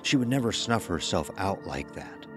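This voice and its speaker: A man's voice: sits low, sing-song, normal speed, music in the background. A man narrating a scripted horror story as its storyteller.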